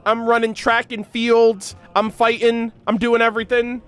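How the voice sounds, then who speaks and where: A young man giving casual, excited reaction commentary in slangy, gushing, animated fan talk. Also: very high-pitched, normal speed, smooth. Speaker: reaction video creator.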